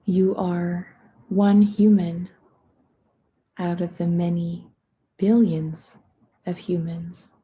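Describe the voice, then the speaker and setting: Slow, very soft breathy woman guiding meditation. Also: lowish voice, little pitch movement. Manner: hushed, soothing, unhurried with long pauses. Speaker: meditation guide. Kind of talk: guided meditation.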